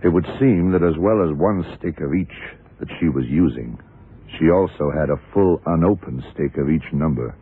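A shrewd police detective in a vintage radio thriller. His voice is very deep and a little rough, and he probes deliberately, step by step. The sound is tinny and narrow, like an old broadcast. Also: exaggerated voice. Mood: analytical. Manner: deliberate, probing, clipped questioning. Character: shrewd, methodical male police detective in charge. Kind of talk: 1960s-70s radio drama acting.

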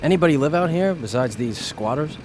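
A man's voice: a little high, expressive, a little fast, a little mellow, slight background noise, natural voice. A man playing a terse young trooper. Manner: terse, dry replies. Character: young, plain-spoken male trooper rookie.

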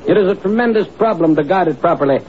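An actor playing an evasive, fussy and officious police inspector in a 1950s radio drama. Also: male voice, high voice, lively intonation, quick pace, soft background music. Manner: fussy, defensive. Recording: tinny, hissy old radio recording.

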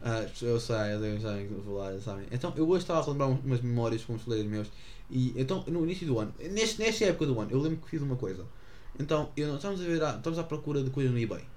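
A man's voice: medium pitch, expressive, racing, a little mellow. A teenage boy from the Azores, rambling through stories to camera with lots of fillers and self-mockery. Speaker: vlogger. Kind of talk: casual storytelling to camera.

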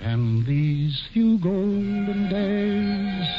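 A vain, aging governor croons a slow courting song and then turns to blustering commands. His voice sits fairly high and takes its time. It comes from a dull vintage radio broadcast with a loud orchestra behind him. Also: loud music behind the voice. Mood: wistful crooning. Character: aging pompous male colonial governor courting a young maiden. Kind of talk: 1940s radio musical drama.

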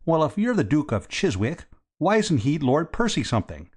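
A man's voice: a little high, sing-song, normal speed, a little mellow, husky, pitched above the performer's own voice. A man voicing a stout, suspicious businessman in an audiobook, blunt and insistent in manner. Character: stout, well-fed, cold-eyed businessman, suspicious and pushy. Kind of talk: audiobook character dialogue.

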